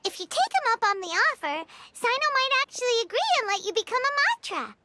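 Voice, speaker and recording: A tiny, chirpy fairy sidekick from an anime game. The voice is extremely high, squeaky and childlike, swooping around excitedly over heavy background noise. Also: female voice, fast talker. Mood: chirpy, eager. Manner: exclamatory, speaks of self in third person. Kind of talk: English anime game dub acting.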